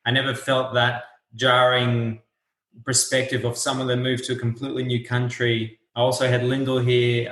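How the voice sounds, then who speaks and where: A man's voice: mid-range voice, a bit bright. Easygoing man in his thirties, roomy echo. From Portugal. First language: Portuguese. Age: 30s. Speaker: guest. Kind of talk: casual interview chat.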